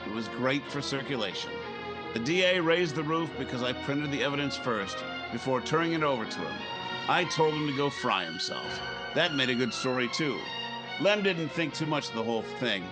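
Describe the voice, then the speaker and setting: A man's voice: mid-range voice, animated, moderate pace, loud music behind the voice, lightly acted, low-quality recording. A man playing a cynical, hard-boiled newspaper editor in a 1950s-style radio drama, clipped and matter-of-fact. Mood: grimly narrating. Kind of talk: 1950s radio drama acting and first-person narration.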